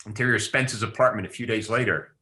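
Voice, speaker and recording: A man's voice: on the low side, fast talker, sharp tone. A man narrating stage directions at a table read.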